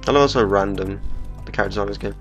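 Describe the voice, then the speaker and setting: A man's voice: lowish voice, racing, fairly bright tone. A young man with a cold, chatting casually through a let's play of a game, swearing now and then. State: bunged up with a cold. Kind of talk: casual gameplay commentary. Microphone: desktop microphone. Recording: game music playing underneath.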